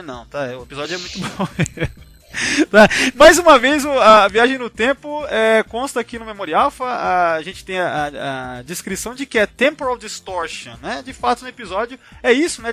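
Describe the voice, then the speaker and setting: A man's voice: high-pitched, bright, forceful tone. A Brazilian man hosting a casual fan discussion, rambling and slangy, constantly tagging his sentences with little check-in questions. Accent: Brazilian Portuguese. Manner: informal, rambling, slangy, frequent tag questions. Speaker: podcast host.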